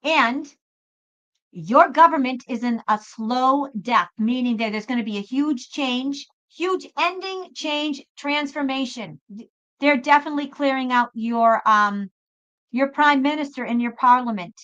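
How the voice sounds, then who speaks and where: A woman with a high-pitched voice reads tarot for a live audience online. She talks casually and emphatically, circling back over her phrases. The sound is somewhat dull and lo-fi. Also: unhurried, a bit bright. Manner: emphatic, chatty, repeats phrases. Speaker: host and tarot reader. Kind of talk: live spiritual tarot reading, casual chat with viewers. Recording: dull lo-fi live stream audio.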